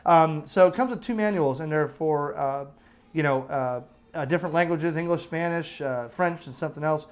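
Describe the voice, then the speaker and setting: An American man with a slightly higher-pitched voice talks through a product review in a casual, unscripted way. He is chatty and explanatory, pausing to check that the listener is following along.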